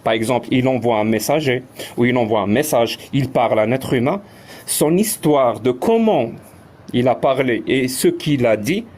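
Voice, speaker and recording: An Algerian man holds forth in a live online debate. He talks in a middle pitch and walks through his argument step by step, stammering over repeated words as he thinks. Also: animated, normal speed, darkish tone. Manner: explanatory, repeats words while searching. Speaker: live stream debater, atheist.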